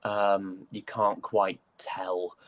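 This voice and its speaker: Low-voiced young man, Northern English accent. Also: little pitch movement, extremely slow, dry recording. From West Yorkshire, England. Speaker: interview guest, actor. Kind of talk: casual interview chat.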